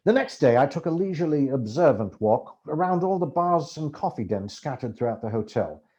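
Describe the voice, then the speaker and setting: A man reading a book aloud as expressive, theatrical first-person narration. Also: on the high side, brisk, muffled recording. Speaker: amateur audiobook reader.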